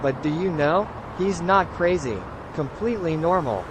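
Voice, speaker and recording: Man playing a high-pitched, kindly male mentor. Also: moderate pace, noisy background, close to an everyday voice. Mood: reflective. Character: kindly, calm male mentor in a mystery novel. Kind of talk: scripted audio novel dialogue. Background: music.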